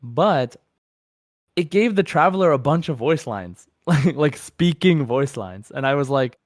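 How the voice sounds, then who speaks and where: A man's voice: a little high, expressive, unhurried, no room sound, studio quality. A man chatting casually as a podcast co-host about games, in an enthusiastic, rambling way. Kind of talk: casual gaming podcast chat.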